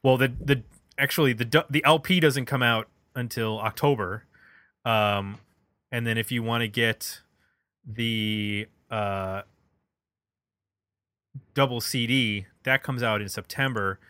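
Bright-voiced man hosting a music podcast. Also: medium pitch, fairly even intonation, slow pace, long pauses, no room sound. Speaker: podcast host. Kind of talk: casual music discussion.

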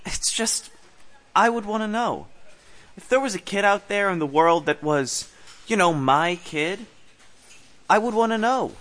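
A man's voice: sits high, animated, unhurried, pauses often, fairly bright tone. A man playing an earnest, chatty park ranger in his twenties on a date in a romantic comedy audio play, hesitant, rambling and blunt. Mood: earnest, insistent. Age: 20s.

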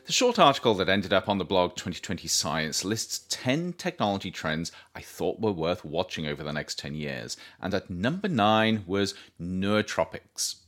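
A man reading his own nonfiction book aloud as a scripted audiobook narration, with expressive, deliberate, emphatic phrasing. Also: low-pitched.

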